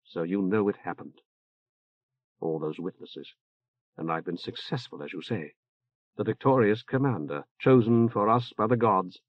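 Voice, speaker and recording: A man's voice: normal speed, natural voice. A man voicing a blunt, weary female general who speaks tersely, in a dull, muffled recording. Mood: flat, blunt. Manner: terse, low and quiet. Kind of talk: audiobook character voice.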